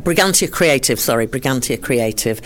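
A woman's voice: extremely low pitch, animated, normal speed. A woman from Leeds, speaking enthusiastically and fluently as a guest on a community radio interview, with occasional restarts. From Leeds, England. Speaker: arts charity organiser.

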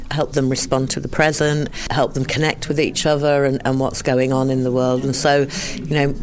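Lady chatting on local radio about her arts charity, eager, talks fast and fluent. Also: deep voice. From Leeds, England. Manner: enthusiastic, fluent, with occasional restarts. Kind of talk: radio interview guest.

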